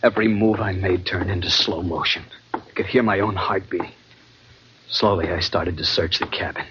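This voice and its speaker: A man's voice: low voice, fairly even intonation, moderate pace, background noise, close to an everyday voice. An actor playing an anxious, haunted American man who tells a sea suspense tale in tense, dramatic 1940s radio style. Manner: tense, brooding, dramatic narration. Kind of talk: 1940s radio drama acting and first-person narration. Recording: muffled old radio recording, loud organ music.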